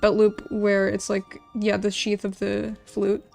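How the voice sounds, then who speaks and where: A woman's voice: medium pitch, completely flat delivery, a little slow, creaky, a little mellow, faint music behind. A woman talking informally on a livestream while she draws, rambling and often repeating words as she thinks aloud and answers chat. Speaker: livestreamer drawing and designing game characters. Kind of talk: casual livestream chat while drawing, reading out chat messages.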